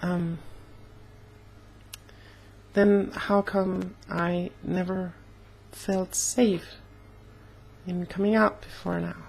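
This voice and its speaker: A young woman talking casually in a personal vlog, slightly hoarse, with an earnest, halting way of speaking. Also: on the low side, little pitch movement, darkish tone. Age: young adult. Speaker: vlogger.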